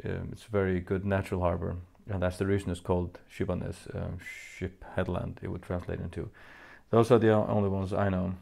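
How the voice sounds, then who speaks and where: Low gravelly Faroese man answering fans. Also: level tone, darkish tone. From Faroe Islands. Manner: halting, many fillers and restarts, reads questions aloud. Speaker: musician answering fan questions. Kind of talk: casual Q&A monologue.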